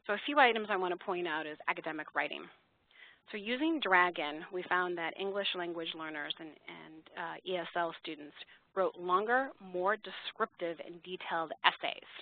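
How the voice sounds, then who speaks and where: A woman giving an explanatory, measured slide presentation to educators, partly scripted, as a webinar presenter. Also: lowish voice, no echo. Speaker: software company webinar presenter. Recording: narrow-band phone line, dry.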